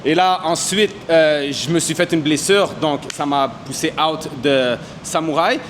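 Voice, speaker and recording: Confident fighter, slang-heavy. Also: male voice, on the high side, measured pace, a bit bright, slight background noise. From Canada. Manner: confident, mixes English slang into French. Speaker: MMA fighter. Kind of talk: casual interview answers. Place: boxing gym.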